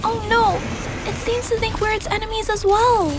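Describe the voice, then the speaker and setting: A woman's voice: very high-pitched, flat delivery, normal speed. A woman voicing a sweet, helpful little girl in a video game, with a light, girlish voice and a polite, earnest manner. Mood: alarmed, fighting. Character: sweet, gentle, helpful little girl who tinkers with robots. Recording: music behind the voice.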